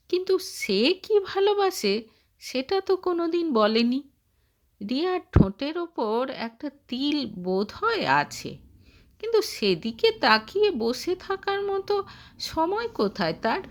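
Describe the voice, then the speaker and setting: A woman narrating a scripted story in a dramatic storytelling style. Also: on the high side.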